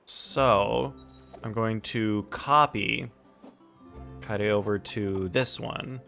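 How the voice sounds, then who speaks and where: Man, bright clear-cut tone, gaming livestream host, talking himself through setup, background music. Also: fairly low pitch, very slow. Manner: thinks aloud while setting things up. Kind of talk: casual gaming stream chat.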